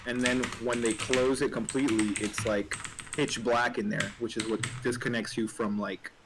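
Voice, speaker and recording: A man's voice: medium pitch, flat delivery, moderate pace. A man chatting casually in a hesitant, rambling way, often saying "like". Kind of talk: casual chat. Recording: noticeable background noise with typing. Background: keyboard typing and clicks.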